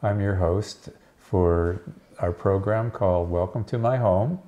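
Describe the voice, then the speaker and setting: A man's voice: sits low, takes it slowly, dark tone. A man hosting a community television interview, asking simple questions and giving brief encouraging backchannels. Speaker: host.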